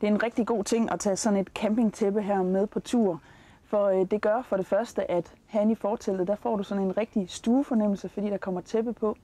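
A woman's voice: medium pitch, fairly even intonation, warm tone, a little hiss or hum. A Danish woman in her forties presenting practical tips to camera as a travel host. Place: outdoors at a campsite, in and beside a tent awning.

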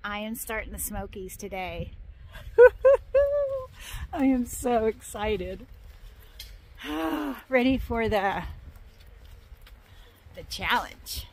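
A woman's voice: a little high, drawn-out words, long pauses. An older woman vlogging cheerfully on a mountain trail, talking to a phone camera at arm's length and a little out of breath. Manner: cheerful, chatty, excitable. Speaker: hiking vlogger. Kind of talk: casual vlog narration. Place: outdoors on a dam and mountain trail. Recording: faint outdoor noise.

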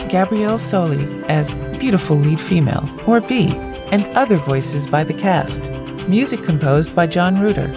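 A woman announcer reading scripted closing credits for an audio drama. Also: lowish voice. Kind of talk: scripted narration.

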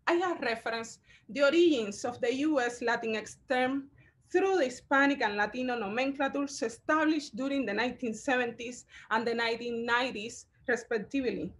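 High-voiced curator, halting with fillers. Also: female voice, a bit bright. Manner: measured, halting, with frequent fillers. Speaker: curator giving a talk. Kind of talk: online lecture over slides.

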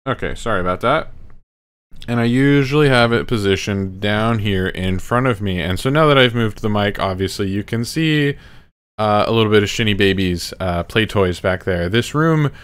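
A man's voice: fairly low pitch, expressive, normal speed, fairly bright tone, clean tone. A man in his thirties reviewing his microphone to a webcam in a small home room, rambling casually and correcting himself. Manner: rambling, self-correcting, apologetic asides. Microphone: studio condenser mic close to face.

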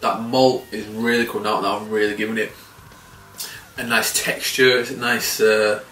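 A man in his thirties casually reviewing a beer to camera, relaxed and swearing freely with frequent chuckles. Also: on the low side, moderate pace, slight background noise. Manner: laid-back, swears casually, chuckles often. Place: small indoor room by window blinds. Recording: roomy and slightly distant.

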